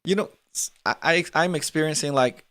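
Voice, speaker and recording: A Spanish-speaking man in his twenties hosts a relaxed live chat, his Latin American accent a blend of many places. His voice is smooth and resonant, recorded close and dry. He talks in a friendly, rambling way, repeating words and leaning on "like.". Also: fairly high pitch, brisk, no room sound. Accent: mixed Latin American Spanish. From Peru. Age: 20s. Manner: chatty, repeats words, fills with "like". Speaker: host. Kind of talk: live conversational interview.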